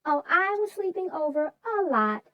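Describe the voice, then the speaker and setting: Children's story sidekick: squeaky high, chirpy, animated, close dry sound. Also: female voice, moderate pace, no echo, muffled recording. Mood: cheerful, chatty. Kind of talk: scripted chat after a children's read-aloud.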